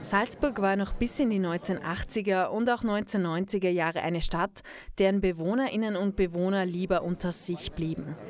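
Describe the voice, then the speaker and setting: A female reporter narrates a report from a script. Her voice sits at an average pitch for a woman. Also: fairly quick.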